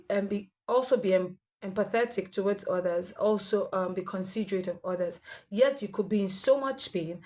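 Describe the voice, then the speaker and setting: A woman's voice: mid-range voice, darkish tone. A young woman giving earnest, instructive health advice to her viewers. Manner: earnest, instructive, with fillers and restarts. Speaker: health vlogger with sickle cell. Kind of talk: advice monologue to camera.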